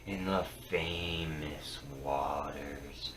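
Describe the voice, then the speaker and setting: Male storyteller for dramatic verse: deep, grave, theatrical, halting delivery, recorded at a distance from the mic. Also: fairly even intonation. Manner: solemn and theatrical, with halting phrases and swings from hushed to booming. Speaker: storyteller reciting Norse myth. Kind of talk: dramatic poetry reading. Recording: roomy, distant mic with faint noise.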